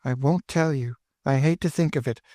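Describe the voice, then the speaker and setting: Man doing a bitter worn-out woman, dry and hopeless, pitched up, dead dry room. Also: normal speed, warm tone, dry recording, close to an everyday voice. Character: bitter, despairing grown woman, weary and dry.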